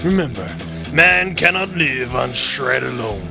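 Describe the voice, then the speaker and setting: A man's voice: mid-range voice, expressive, measured pace, over music. A man playing a swaggering heavy-metal messiah in a comedy audio drama, preaching grandiosely in rock slogans. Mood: cool swagger. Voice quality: gravelly.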